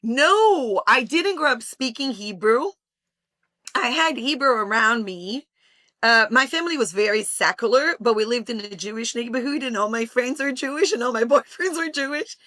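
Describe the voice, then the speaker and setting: Bright-voiced earnest woman guest. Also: on the high side, animated, moderate pace, dry recording. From Brazil. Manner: earnest and passionate. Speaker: guest, homeschooling mother. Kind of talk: interview conversation.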